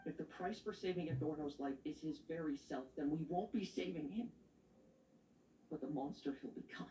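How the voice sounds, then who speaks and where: Man acting determined young male fighter, grave video game drama, mellow tone, slightly distant. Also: a little high, moderate pace, roomy sound, slight background noise, lightly acted. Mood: grim resolve.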